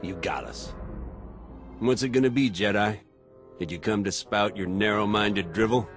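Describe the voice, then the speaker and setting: A man's voice: on the low side, normal speed, over music, lightly acted. A man voicing a defiant but doubting rebel soldier in a video game cutscene, confrontational and wavering.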